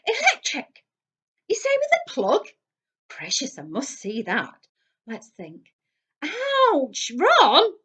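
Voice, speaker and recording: Brief: excitable middle-aged wizard dad, frantic and eager, pitched up high, read by a woman. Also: slow, husky, heavily acted. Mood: excited.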